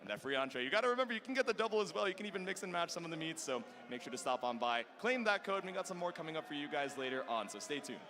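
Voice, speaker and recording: A man's voice: a little high, big pitch swings, very fast, few pauses, noisy background. A man hosting a live fighting game tournament broadcast as commentator, upbeat and slangy as he hypes up the crowd. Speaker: esports tournament commentator and host. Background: background music.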